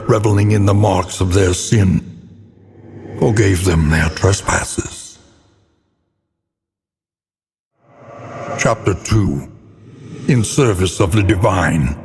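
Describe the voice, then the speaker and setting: A man's voice: lowish voice, big-room sound, slight background noise. A man playing a grim, brooding game narrator, telling gothic horror tales in a grave, theatrical, ornate manner. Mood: dark, solemn. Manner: grave, ornate, deliberately dramatic delivery.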